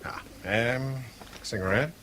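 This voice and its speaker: An actor playing a flustered, evasive British managing director in a 1970s radio drama, hesitant, repetitive and apologetic. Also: male voice, mid-range voice, takes it slowly, fairly bright tone. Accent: British English. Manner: hesitant, stammering, repeats himself. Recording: 1970s radio recording, faint background noise.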